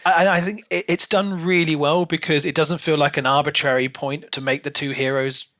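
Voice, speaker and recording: A man's voice: a little high, steady tone, a little fast, a bit bright. A man co-hosting a podcast, talking informally and casually as he discusses a book. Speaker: podcast co-host.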